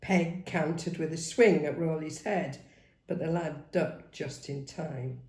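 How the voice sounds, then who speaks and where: A woman reading a novel aloud as dramatic, expressive narration. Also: deep voice, slow pace. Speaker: story reader. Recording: roomy, echoing sound.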